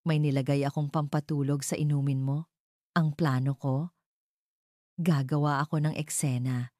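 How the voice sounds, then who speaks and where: A woman's voice: deep voice, fairly even intonation, normal speed, mellow, no echo, studio quality, lightly acted. A woman voicing an arrogant, scheming male villain in an audio drama, taunting and speaking with heavy emphasis. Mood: gloating. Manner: taunting, emphatic, spelling words out.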